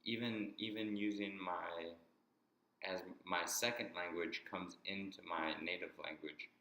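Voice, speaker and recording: A man explaining a topic in a halting way, often restarting and repeating words, and speaking English as his first language. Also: low voice, steady tone, bright, forceful tone, echoey room, rough recording. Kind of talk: explanatory monologue.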